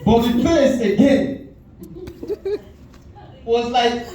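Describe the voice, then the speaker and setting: High-voiced Cameroonian man on a club stage. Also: very slow, slight background noise. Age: 40s. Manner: storytelling with lively voiced quotes. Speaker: stand-up comedian. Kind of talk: stand-up comedy set. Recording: echoey club audio from far off.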